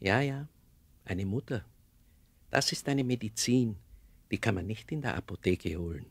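Husky, gruffly teasing Austrian doctor. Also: male voice, lowish voice, animated, moderate pace, no echo, exaggerated voice. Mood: teasing, kindly. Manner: dryly ironic, blustering. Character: gruff but kindly teasing old-fashioned doctor.

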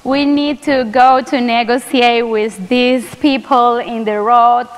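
A woman's voice: on the high side, steady tone. A woman panelist speaking careful, with many restarts, on a conference stage. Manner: slow, careful non-native English with restarts. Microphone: stage mic. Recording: echoey conference hall.

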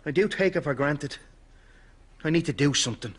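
An actor playing an earnest, lovestruck Irish husband in a sitcom. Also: male voice, a little high, animated, a little fast, heavy background noise, lightly acted. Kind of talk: sitcom acting.

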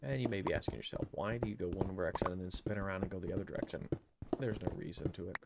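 A man's voice: low-pitched, racing, gravelly, very noisy. An older man with a head cold, streaming a game from home on a headset mic and thinking aloud as he plays, often counting under his breath. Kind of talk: casual gameplay commentary. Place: home room.

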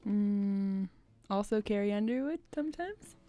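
A woman's voice: medium pitch, animated, a little slow. A young woman co-hosting a music show, chatting casually in short replies into a stage microphone. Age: young adult. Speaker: musician and co-host. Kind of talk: casual chat, brief replies. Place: small dim curtained room. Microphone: vocal microphone on a stand. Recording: muffled, loud guitar music behind. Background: acoustic guitar.

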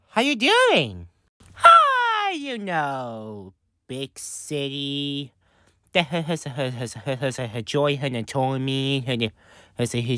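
A woman improvising character lines for a fan video in a halting, repetitive way, stumbling over her words. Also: very deep voice, sharp tone, no echo, studio quality. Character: excitable woman in a bird costume.

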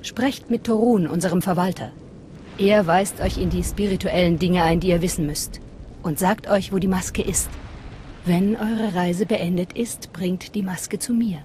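An actress voicing a fanatical assassin and devout cult guardian in a video game, reverent and measured. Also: female voice, on the low side, steady tone, warm tone, a little hiss or hum, lightly acted. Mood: instructing. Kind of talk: dramatic video game dialogue. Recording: prominent music behind voice.

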